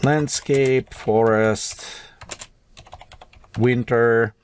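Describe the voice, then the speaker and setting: A man with a Russian accent walks through a software tutorial at his desk, thinking aloud as he types, his English broken and non-native. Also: mid-range voice, steady tone, extremely slow, slight background noise. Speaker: tutorial host. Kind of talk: screen-recorded software tutorial.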